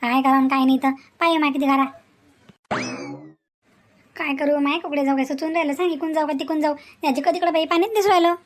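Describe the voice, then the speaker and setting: Comic village story: chatty housewife played by a woman, rural Vidarbha Marathi, piercingly high pitch, fast, folksy and grumbling. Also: steady tone, slight background noise, natural voice. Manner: folksy, exclamatory, repetitive chatter.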